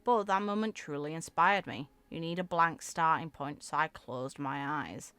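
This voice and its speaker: A woman reading video game story text aloud in an expressive, theatrical way, as a content creator. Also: deep voice, normal speed, clear, resonant tone, dry recording. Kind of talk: reading game lore aloud with reaction asides.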